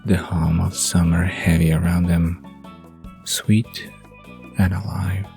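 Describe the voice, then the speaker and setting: Narrator for bedtime audio drama: man, low, mellow, intimate, unhurried storytelling. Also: little pitch movement, creaky, faint music behind.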